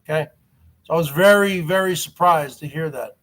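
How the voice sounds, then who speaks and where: A man's voice: on the high side, normal speed, a bit bright. A man in his forties with a stutter, hosting a live stream and airing a grievance to his viewers in a repetitive, aggrieved way. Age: 40s. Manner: aggrieved, repeats himself.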